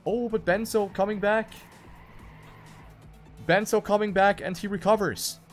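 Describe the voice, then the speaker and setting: A man's voice: high-pitched, fairly even intonation, unhurried, soft background music. A man commentating live on a racing game, calling the action play by play and repeating phrases for emphasis. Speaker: esports race commentator.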